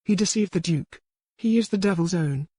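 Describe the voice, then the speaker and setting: A woman's voice: fairly low pitch, normal speed, mellow, husky, dry recording. A woman voicing a loyal, world-weary old herbalist servant in a historical romance audiobook. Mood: reassuring. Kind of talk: dramatic audiobook character reading.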